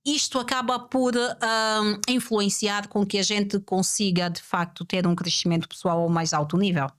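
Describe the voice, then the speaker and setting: A woman's voice: medium pitch, steady tone, normal speed. Reflective woman asking rhetorical questions. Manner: poses rhetorical questions and repeats key points. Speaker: guest speaker. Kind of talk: reflective podcast conversation.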